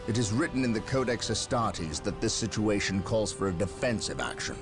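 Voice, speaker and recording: A stern warrior commander. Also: male voice, lowish voice, a little fast. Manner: authoritative, calm. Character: stern male space marine commander. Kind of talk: video game cutscene acting. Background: music.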